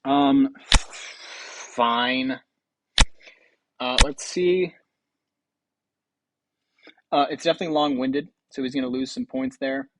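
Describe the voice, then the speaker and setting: A man's voice: medium pitch, fairly even intonation, slow pace, long pauses, noisy background. A man hosting a game show with casual, improvised commentary in a rambling, offhand way.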